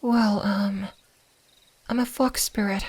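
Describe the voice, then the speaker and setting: A woman playing a gentle, curious kitsune fox spirit in an ASMR roleplay, speaking softly, soothingly and a little hesitantly. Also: medium pitch, steady tone, takes it slowly, husky. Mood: gentle, slightly shy. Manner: soft-spoken, soothing, hesitant, at times whispering.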